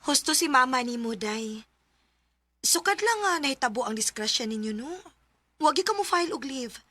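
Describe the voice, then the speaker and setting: An actress with a Cebuano Filipino accent playing a chatty, encouraging friend and fellow teacher in a radio drama. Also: female voice, high-pitched, expressive, moderate pace, pushed and bright, faint music behind. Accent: Filipino, Cebuano-speaking. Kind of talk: Philippine radio drama acting.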